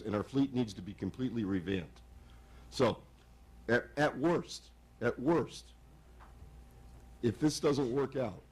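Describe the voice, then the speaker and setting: A hoarse man speaking haltingly at a village commission meeting, often repeating words. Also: medium pitch, a little mellow. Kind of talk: public meeting remarks.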